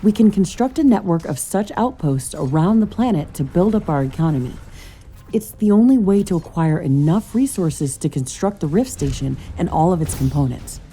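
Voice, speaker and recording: A woman's voice: lowish voice, animated, normal speed, dark tone, a little hiss or hum, close to an everyday voice. A woman playing a composed, capable scientist-commando in a video game, with an earnest, scripted delivery. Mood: purposeful.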